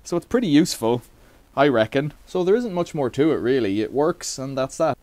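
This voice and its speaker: A man's voice: medium pitch, very animated, brisk, darkish tone, dry recording. A middle-aged man casually demonstrating a bike repair in a cluttered workshop, rambling with wry asides.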